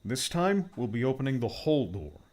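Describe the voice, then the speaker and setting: A man's voice: medium pitch, sing-song, brisk, a little mellow, pitched above the performer's own voice, natural voice. A man voicing an upbeat, reassuring male tech mentor who explains gadgets in a cheerful manner. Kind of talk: audiobook character voice.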